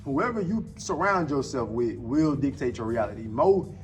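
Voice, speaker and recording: A man's voice: medium pitch, animated, normal speed, soft background music. Laid-back young man, slangy host. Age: 20s. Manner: laid-back slang, calls people "bro" and "dog". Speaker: podcast host. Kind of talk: casual chat.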